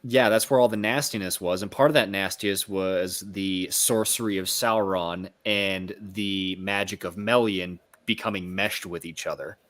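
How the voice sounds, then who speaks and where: A man with a fairly low voice co-hosts a casual book discussion. He explains connections analytically, stopping and restarting as he works through each point. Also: steady tone, moderate pace, few pauses, fairly bright tone.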